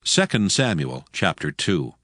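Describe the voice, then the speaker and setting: A man narrating scripture from a script in a formal, measured manner. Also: low voice, sing-song, fairly bright tone. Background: background music.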